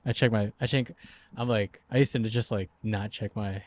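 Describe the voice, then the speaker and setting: A man's voice: fairly low pitch, steady tone, normal speed, a little mellow. Laid-back man in his twenties. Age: 20s. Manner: laid-back, slangy, laughs often. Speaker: podcast co-host. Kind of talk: casual chat.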